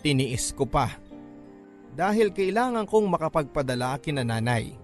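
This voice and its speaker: A man's voice: a little high, big pitch swings, moderate pace. A man with a Filipino accent narrating an emotional first-person story in radio drama style. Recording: music under the voice.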